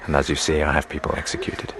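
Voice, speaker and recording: Casting: sardonic interrogator-narrator, audio drama, extremely deep, dry confiding delivery over noisy ambience. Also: male voice, wildly swooping pitch, fast, fairly bright tone, full character voice. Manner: confiding, dry, matter-of-fact. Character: world-weary, sardonic male palace interrogator narrating. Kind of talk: dramatic first-person narration.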